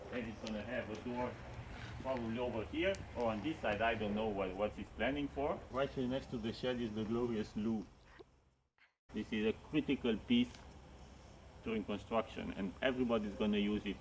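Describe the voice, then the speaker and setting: A man's voice: fairly low pitch, noisy background. A man in his thirties with a Romanian accent, chatting casually as he walks through a construction site. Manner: chatty, repeats words while talking. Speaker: vlogger. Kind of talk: casual vlog walkthrough.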